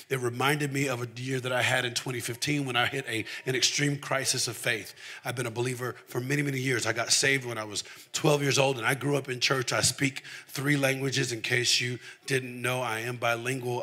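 A man's voice: medium pitch, bright, forceful tone. A man from the southern United States preaching in a conversational way, telling personal stories candidly and repeating phrases for emphasis. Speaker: preacher giving testimony. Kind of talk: conversational sermon with personal storytelling.

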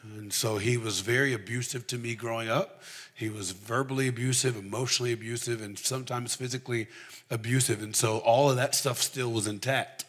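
A male preacher from the southern United States with a bright, forceful voice in a middle register. He speaks conversationally and candidly, building personal testimony with repeated, emphatic phrases. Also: mid-range voice, steady tone, fairly quick. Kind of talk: conversational sermon with personal storytelling.

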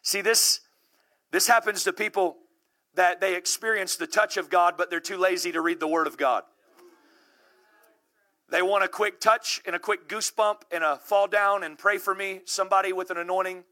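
Male preacher, thirties, high voice, very bright tone, impassioned, calls out to the crowd. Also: fairly even intonation, normal speed. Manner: impassioned, builds to shouting; calls out to the congregation. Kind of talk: sermon.

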